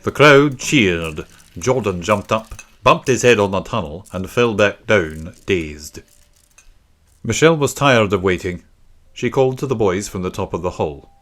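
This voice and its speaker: A man's voice: on the low side, expressive, unhurried, fairly bright tone. A man narrating a children's story from a script. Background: faint music.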